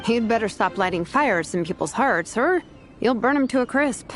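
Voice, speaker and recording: A wry, easygoing young woman voiced by an actress in a video game. Her voice is fairly high and lively, teasing as she explains, with soft music behind. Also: normal speed, natural voice. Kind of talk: video game character dialogue.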